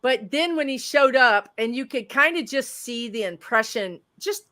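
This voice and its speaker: A woman, a psychic medium chatting casually as a livestream guest, rambling in a loud voice. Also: a little high, expressive, normal speed.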